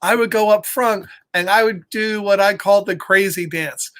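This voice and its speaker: A middle-aged man reading aloud and telling casual stories to children in an encouraging, expressive, chatty way. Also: high-pitched, moderate pace. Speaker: storytime reader for children. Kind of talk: animated read-aloud and casual personal storytelling.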